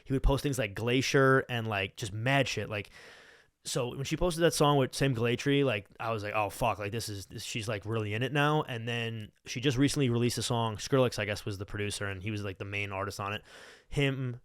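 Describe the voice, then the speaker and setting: A man's voice: medium pitch, a little fast, clean tone, no echo, clean studio sound. A young man hosting a casual podcast, rambling about music in a slangy, profane, hyped-up fan's way. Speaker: podcast host. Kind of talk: casual unscripted music chat. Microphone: close podcast microphone.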